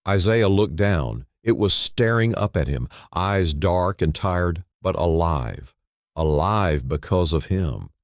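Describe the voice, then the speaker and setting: A man narrating a dramatic story in a solemn, deliberate way, in short phrases with dramatic pauses. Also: sits low, expressive, no room sound, clean studio sound.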